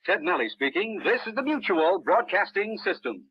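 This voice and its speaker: A man's voice: sits high, sing-song, moderate pace, heavy background noise. A man with an American accent announcing in scripted old-time radio style. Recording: muffled old radio broadcast.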